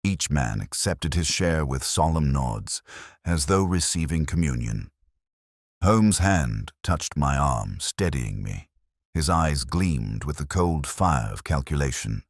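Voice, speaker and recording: A man reads scripted detective fiction in a very deep voice. His delivery is measured and solemn, yet rises and falls dramatically. The recording is close and dry. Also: lively intonation, creaky, dry recording. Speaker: audiobook narrator. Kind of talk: scripted first-person detective story narration.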